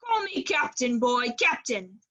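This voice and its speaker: A woman playing a gruff, suspicious old seaman captain in a radio play, with salty sailor talk and a bossy manner. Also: fairly high pitch, sing-song, unhurried, highs cut off, crowd noise. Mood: commanding.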